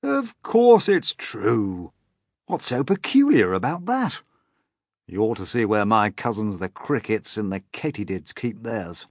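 A man voicing an old, gentle male grasshopper who lectures kindly and is proud of his music. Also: on the low side, very animated, measured pace, no room sound, clean studio sound, full character voice. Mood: teasing. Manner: kindly, lecturing, fond of his own skill.

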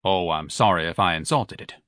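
A man's voice: low-pitched, fairly quick, a bit bright, no echo, studio quality, voice pushed down. A man doing a tired, curt husband. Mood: weary. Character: weary, irritable middle-aged husband.

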